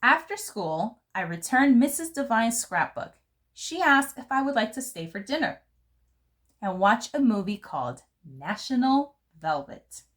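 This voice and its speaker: A woman reading a picture book aloud to children in an expressive, careful, theatrical storytelling manner. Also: on the high side, a little slow. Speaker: children's read-aloud host.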